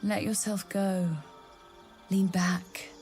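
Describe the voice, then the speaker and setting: An actress playing a mysterious, seductive woman who visits dreams, coaxing softly and intimately in a video game. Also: medium pitch, flat delivery, slow pace, faint music behind, natural voice. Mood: seductive, soothing. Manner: breathy, coaxing, intimate, often whispering. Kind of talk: video game dialogue acting.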